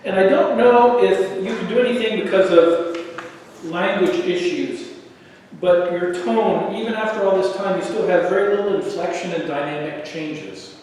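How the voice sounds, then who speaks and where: A man with a tenor voice evaluating a speech at a club meeting, measured and encouraging. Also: high-pitched, darkish tone, slight background noise. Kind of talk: spoken feedback. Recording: echoey hall from far off.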